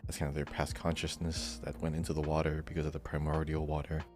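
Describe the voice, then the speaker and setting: A man's voice: a bass voice, monotone, fast talker, a little mellow, soft background music. A man co-hosting a gaming podcast, talking in a casual, conversational way. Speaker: podcast co-host.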